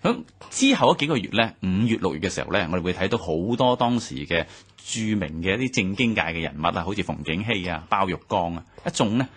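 A man with a Hong Kong Cantonese accent co-hosting a radio history discussion, brisk and lively as he explains. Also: low voice, breathy edge. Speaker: radio co-host. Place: radio studio.

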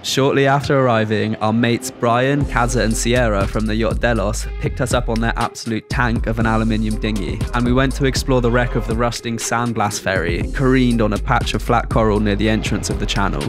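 A man narrating a sailing travel video in a calm, measured, scripted way. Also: mid-range voice, over music. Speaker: vlog host and narrator.

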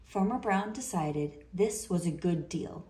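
A woman reading a children's picture book aloud in an expressive, deliberate storytelling manner. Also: fairly low pitch. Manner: slow, deliberate, animated delivery for children. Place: indoor room. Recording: roomy indoor echo.

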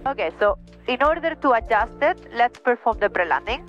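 A woman's voice: on the high side, big pitch swings, fairly quick. A young woman with a Spanish accent giving flight instruction over an aviation headset. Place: small plane cockpit in flight. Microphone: aviation headset boom mic. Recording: muffled aviation headset intercom, music behind.

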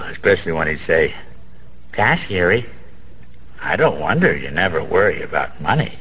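An actor playing a boozy, sentimental, boastful small-time gambler in a radio drama, rambling in old-fashioned street slang with a gravelly voice. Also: male voice, fairly low pitch, a bit bright, exaggerated voice. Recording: faint background noise.

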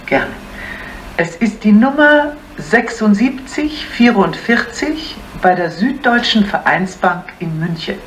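An actress playing an earnest woman doctor being interviewed in a radio drama, halting and measured. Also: mid-range voice, sing-song. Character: earnest, careful woman doctor leading a charity. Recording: distant, faintly noisy old broadcast.

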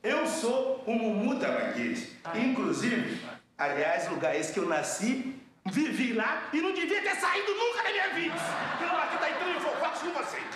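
A man's voice: very high voice, sing-song, normal speed, heavy reverb, distant mic, a crowd in the background. An older Brazilian man chatting and rambling in a comedy sketch. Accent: Brazilian Portuguese. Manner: chatty, rambling comic talk. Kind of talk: comic sketch banter.

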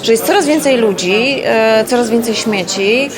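A woman with a slightly high voice gives a thoughtful, hesitant interview answer full of filler sounds. Crowd chatter is clearly heard behind her. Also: moderate pace. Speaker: festival curator, interviewee.

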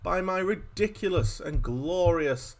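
A man's voice: sits high, animated, unhurried. A young man with a Yorkshire accent, chatting casually and rambling on a live game stream from home, with self-deprecating asides. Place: home room.

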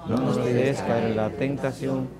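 A man's voice among a congregation, reciting a prayer in slow unison in a church. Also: medium pitch, level tone, a loud crowd. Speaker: congregation responding. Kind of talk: spoken liturgical response.

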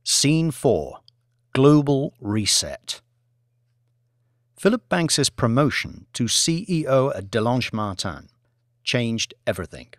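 A man reading fiction as an audiobook narrator, in a measured, dramatic storytelling manner. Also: mid-range voice, very animated, no echo, clean studio sound.

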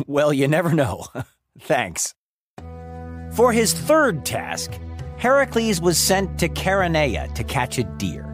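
A man's voice: on the high side, wildly swooping pitch, slow pace, long pauses, soft background music. A man narrating a story for children as a podcast host, playful and wry, with dramatic emphasis. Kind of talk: animated storytelling narration of Greek myths for children.

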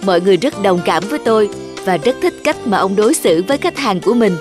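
Friendly cartoon customer, female performer: mid-pitched, courteous and thankful, music underneath. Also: lively intonation, quick pace, natural voice. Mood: grateful. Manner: appreciative, polite. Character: grateful, friendly adult female bike shop customer. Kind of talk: cartoon dialogue.

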